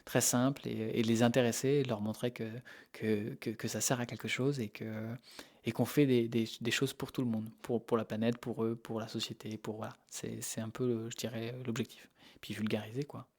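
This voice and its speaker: A man from Normandy speaks as an interviewed researcher. His delivery is rapid and informal, in a mid-range voice. He explains things hesitantly, often doubling back on his words. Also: medium pitch, level tone, a little mellow. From Normandy, France. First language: French. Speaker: interview guest, animal behaviour researcher.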